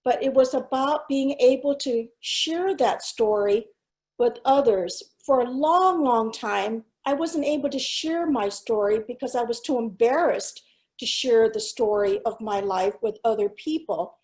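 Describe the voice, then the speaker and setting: A middle-aged woman whose first language was Cantonese, a school superintendent giving a keynote talk over slides in a measured, earnest way, pausing with fillers. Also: a little high, a little mellow. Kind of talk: presentation with slides.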